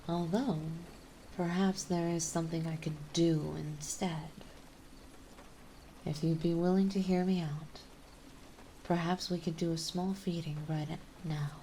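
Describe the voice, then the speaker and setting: A woman playing a gentle yet possessive, dominant vampire mistress in an ASMR roleplay, speaking softly and soothingly, often in a breathy whisper. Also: lowish voice, fairly even intonation, a little hiss or hum, rough recording. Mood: gently coaxing. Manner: soothing, coaxing, slow and deliberate.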